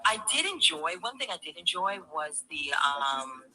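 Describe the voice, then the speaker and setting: A woman's voice: fairly low pitch, very animated, moderate pace, sharp tone, poor audio. A woman calling in to a livestream discussion, speaking in a formal, emphatic and defensive way over harsh replayed stream audio. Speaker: caller on a livestream. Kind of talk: opinionated panel discussion.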